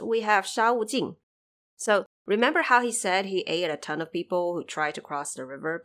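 Low-pitched woman podcast host, very lively intonation. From China. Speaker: podcast host explaining a story. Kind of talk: scripted explanatory narration.

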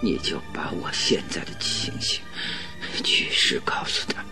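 An actor playing a gravely ill, stubborn detective in a radio drama, with a weak, breathy, halting voice. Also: male voice, music in the background, lightly acted. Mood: laboured pleading. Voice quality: breathy, raspy, weak whisper. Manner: halting, laboured, pleading.

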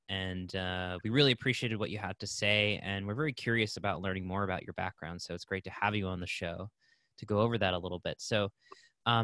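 A male podcast host with a lowish but bright voice, recorded dry and close. He speaks casually and haltingly, filling pauses as he introduces his guest. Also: no room sound. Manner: casual, halting, many fillers. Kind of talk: casual interview talk.

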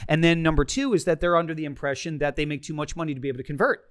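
Male financial advisor, methodical explainer counting off points, slightly higher voice, dry sound. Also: racing, dry recording. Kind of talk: explaining finances in a casual chat.

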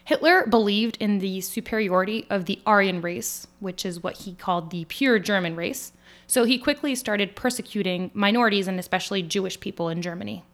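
A woman raised in France co-hosting a history podcast, giving clear, steady scripted narration in an explanatory tone. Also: mid-range voice, a little fast. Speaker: podcast co-host.

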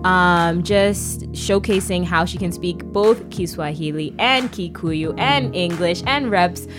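Slow-talking upbeat woman podcast host. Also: lowish voice, over music. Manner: upbeat, laughs often, drawn-out phrasing. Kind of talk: casual interview chat.

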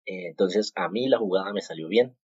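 Low-voiced guy from colombia doing a faith podcast, kinda husky, lots of ums and restarts, dull muffled sound. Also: darkish tone, no room sound. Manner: halting, many fillers and repeated words. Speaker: podcast host. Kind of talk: casual devotional talk. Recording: muffled, dull home recording.

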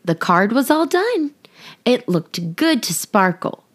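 Female children's storyteller and host. Very animated intonation, unhurried delivery, speaks directly to young kids. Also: on the high side. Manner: addresses young children directly, expressive and deliberate. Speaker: podcast host reading a children's picture book.

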